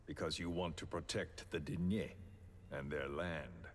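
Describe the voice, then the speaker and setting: A menacing, philosophical game villain with a very low voice. He talks slowly and deliberately, condescending and theatrical as he coerces his captive. Faint noise sits behind the voice. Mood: coldly threatening. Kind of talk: dramatic video game voice acting.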